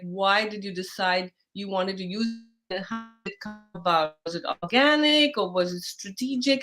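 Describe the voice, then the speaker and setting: A middle-aged woman with an Indian accent hosts a live online interview, her voice sitting a little high. She talks in a chatty, loose way, with many fillers and restarts as she gathers her thoughts. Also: fairly bright tone. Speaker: host.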